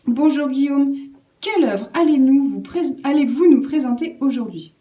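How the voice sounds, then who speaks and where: A woman's voice: sits high, moderate pace, warm tone, dull audio. A woman reading a scripted radio press review as a presenter, in a formal, careful manner. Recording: roomy, echoing room sound.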